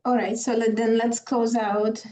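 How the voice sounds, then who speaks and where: A woman's voice: on the high side, little pitch movement, measured pace, dark tone, far from the mic. A Hungarian woman with a Hungarian accent moderating a virtual conference, apologetic and hesitant, with many fillers. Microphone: video call.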